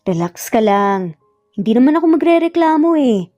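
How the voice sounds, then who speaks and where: A woman voicing a teasing, self-assured male employer in a romance story, with an unhurried manner. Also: on the high side, sing-song, mellow, lo-fi sound, soft background music. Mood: amused.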